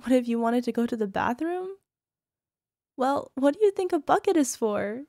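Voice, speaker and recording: A woman's voice: high-pitched, lively intonation, moderate pace, gravelly, mellow, no room sound, studio quality. A woman playing a doting, possessive young yandere wife in an ASMR roleplay, cooing and coaxing the listener with a controlling edge. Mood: doting, teasing.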